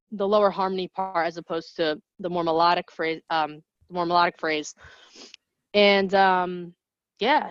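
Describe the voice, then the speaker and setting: A woman from New Jersey, a singer-songwriter with a low voice, chatting casually as a guest in an interview and rambling through asides. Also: a bit bright, no echo, dull audio.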